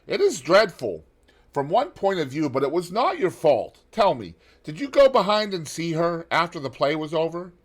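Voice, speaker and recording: A worldly, witty gentleman with a raised, lilting upper-class tone, put on by a male audiobook narrator. He sits well above the narrator's usual low register and speaks up loud and bright. An airy, knowing figure from a classic novel reading. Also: big pitch swings, normal speed. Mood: urgent, airy.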